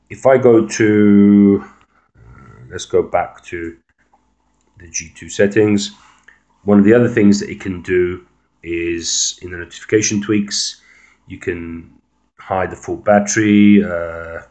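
Very low-voiced man, casual tech walkthrough. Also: fairly even intonation, slow pace, long pauses, a little mellow. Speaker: amateur phone tech reviewer. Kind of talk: casual unscripted screen-recording walkthrough.

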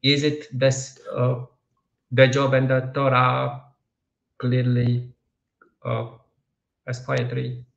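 A man with a Romanian accent hosting an interview over a video call, speaking haltingly as he searches for words. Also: mid-range voice, monotone, vocal fry. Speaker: podcast host. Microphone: headset on video call. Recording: dull, roomy video-call audio.

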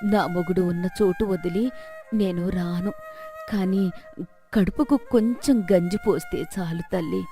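Woman putting on a slow, frail old village widow. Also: on the low side, dark tone, soft background music. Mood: humble, pleading.

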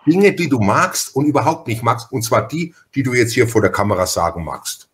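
A man's voice: medium pitch, expressive, a little fast. A man from Franconia with a Franconian accent hosting a casual podcast conversation, rambling and often repeating his phrases. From Franconia, Germany. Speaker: podcast host.

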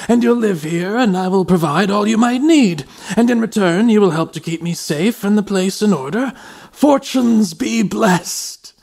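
A man's voice: high-pitched, lively intonation, a little slow, creaky, a little mellow, full character voice. An actor playing a meek, fearful, grateful old man who speaks hesitantly and effusively in old-fashioned formal language in a radio drama. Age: older.

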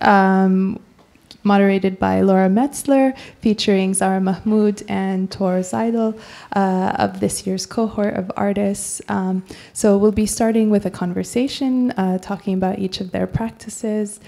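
A woman from the arts centre giving a brisk welcome full of fillers. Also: mid-range voice, fairly even intonation, creaky, warm tone. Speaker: event host from the arts centre.

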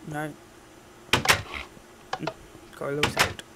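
A man's voice: extremely slow, noisy background. A man giving casual gameplay commentary in an offhand way, muttering to himself as he records an audio log. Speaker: gamer recording audio logs.

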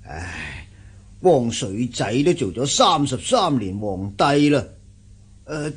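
A troubled middle-aged investor in a Cantonese radio play. His husky voice rises and sags with fretful sighs and hesitations, in a Hong Kong accent. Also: medium pitch, big pitch swings, takes it slowly, heavily acted. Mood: gloomy, worried. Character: worried, sighing middle-aged investor fallen into debt. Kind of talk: radio drama acting.

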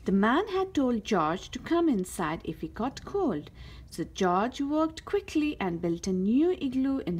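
A woman reading a children's picture book aloud as a storyteller, with careful, expressive delivery. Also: a little high, breathy edge. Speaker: host of a read-aloud story channel.

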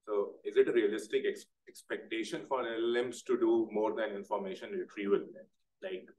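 Low-voiced audience member, far off. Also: male voice, fairly even intonation, fairly quick, a little mellow. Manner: hesitant, with fillers. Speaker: audience member asking a question.

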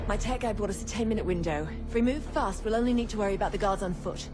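A woman's voice: mid-range voice, quick pace, lightly acted. A woman acting as a businesslike special-ops agent in a video game, clipped and commanding. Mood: brisk, stern. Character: curt, businesslike female special-ops agent leading a mission. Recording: loud music and vehicle noise.

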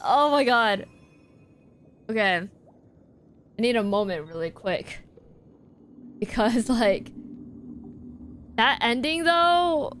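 A woman's voice: fairly high pitch, expressive, drawn-out words, long pauses, fairly bright tone, slight background noise. A young woman streaming a game and reacting live in an emotional, exclamatory, slangy way. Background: game music.

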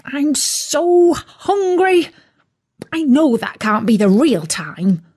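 Woman putting on a squawky indignant turkey, high and jumpy, fussing and complaining, storybook voice. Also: sing-song, slow, a little mellow, heavily acted. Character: impatient hungry male turkey, squawking and cross.

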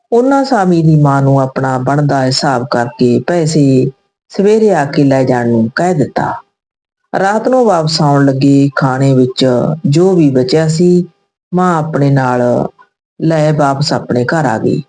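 Low, mellow woman storyteller. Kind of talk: scripted narration of an emotional moral story.

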